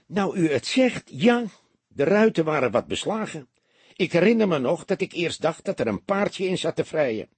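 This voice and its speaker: A man's voice: a little high, sing-song, normal speed, slightly rough, dry recording, voice pushed up, heavily acted. A man voicing a cheeky, defensive small-time crook with colloquial, grumbling talk in an audiobook. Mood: matter-of-fact.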